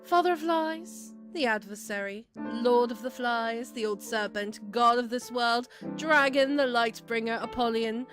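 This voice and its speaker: A woman's voice: on the high side, unhurried, few pauses, lightly acted. A woman playing a sly, teasing devil goddess in a fan-dubbed comic drama, drawling and mocking with theatrical superiority over piano music. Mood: grandly amused.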